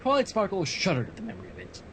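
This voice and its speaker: A man's voice: sits high, huge pitch swings, racing, background noise. A man reading fan fiction aloud on a livestream in a storybook narrating style, with casual asides.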